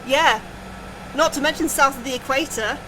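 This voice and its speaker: A woman's voice: sits high, moderate pace, background noise, close to an everyday voice. A woman playing an anxious, inquisitive young scientist in an audio drama, with a hesitant, questioning manner. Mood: curious, unsure. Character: anxious, inquisitive, sceptical young female astrobiologist. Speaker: voice actor in a fiction podcast. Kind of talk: naturalistic audio drama acting.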